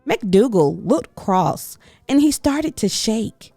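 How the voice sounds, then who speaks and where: A woman narrating a children's story aloud in an expressive, scripted read-aloud style. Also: fairly high pitch, slow, creaky, dry recording. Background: faint music.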